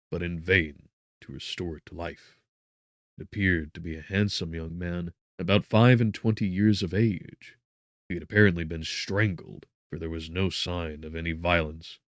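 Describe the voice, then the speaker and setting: Very deep-voiced man narrating gothic fiction. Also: dry recording, studio quality. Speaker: audiobook narrator.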